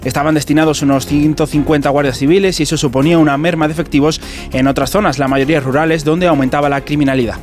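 A man's voice: a little high, fairly even intonation, quick pace, faint music behind. A man with a Castilian Spanish accent presenting scripted radio news.

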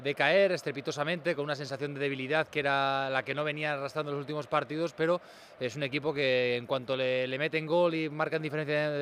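A man's voice: fairly high pitch, fairly bright tone, slight background noise. A man with an accent from Spain giving live football preview analysis as a radio reporter, often repeating words as he builds long thoughts. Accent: Spanish (Spain). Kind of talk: live match preview commentary.

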